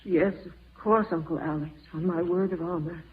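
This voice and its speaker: A woman's voice: on the low side, fairly even intonation, moderate pace, husky, phone-quality sound. A woman in an old-time radio drama playing a meek, dutiful farm wife, timid, hesitant and deferential. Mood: tearful, grateful. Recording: distant, thin scratchy old radio recording.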